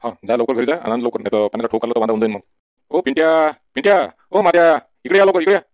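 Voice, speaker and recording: A man's voice: mid-range voice, steady tone, very fast, dry recording, lightly acted. A man voicing a bossy, nagging farmer father exasperated by his son in a comedy cartoon, with a folksy Varhadi Marathi accent. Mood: urgent. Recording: dull, lo-fi sound.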